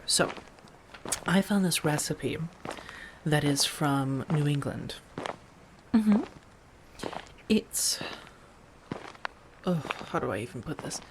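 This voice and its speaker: A woman playing an affectionate, playful female vampire roommate in a soft-spoken, gently teasing ASMR roleplay. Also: low-pitched, noisy background. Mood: playful, warm. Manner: gentle, chatty, teasing, with soft murmurs and breathy asides.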